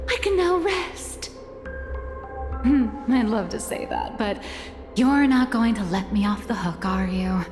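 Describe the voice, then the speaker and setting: A woman's voice: a little high, lively intonation, a little slow, in a large hall, over music. A woman playing an easygoing, teasing female confidante in a video game, speaking casually and unhurriedly. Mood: wry, relaxed.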